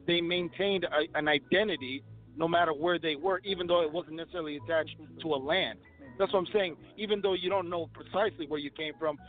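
A man from Ethiopia debating casually, challenging and explaining in colloquial speech. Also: on the high side. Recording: tinny phone-like line, faint music behind.

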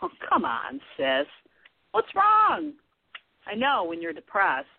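A woman's voice: fairly high pitch, huge pitch swings, slow pace, gravelly, slightly rough, no room sound, exaggerated voice. A woman acting a blunt, teasing but concerned sister in a radio play. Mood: concerned, probing. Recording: thin phone-like audio, dry.

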